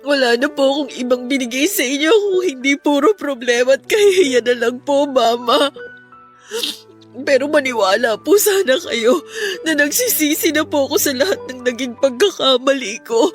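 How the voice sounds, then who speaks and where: High-voiced, remorseful young Filipina daughter. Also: female voice, expressive, normal speed, faint music behind. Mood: tearful pleading. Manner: pleading, tearful delivery. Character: distraught, remorseful young woman, a shamed daughter. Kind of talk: radio drama acting.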